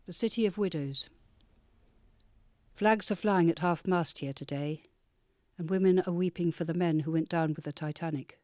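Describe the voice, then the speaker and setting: A woman playing a sombre newspaper reporter, narrating a documentary drama in a grave, measured way. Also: fairly low pitch, darkish tone, no room sound, lightly acted.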